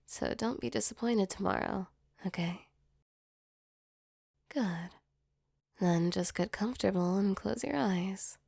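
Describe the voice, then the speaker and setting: Creaky, tender demon comforter played by a woman. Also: mid-range voice, takes it slowly, pauses often, darkish tone, no room sound, clean studio sound, exaggerated voice. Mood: soothing, protective. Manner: soothing, tender, reassuring. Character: gentle female sleep paralysis demon, affectionate and protective. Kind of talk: ASMR comfort roleplay, soft intimate acting.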